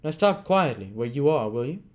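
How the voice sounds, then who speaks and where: A man reading aloud and voicing a brutal grown male robber, loud, gruff and threatening, his lines pitched up from his own voice and full of swinging inflection. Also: sing-song, moderate pace, lightly acted. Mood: angry. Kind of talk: dramatic read-aloud.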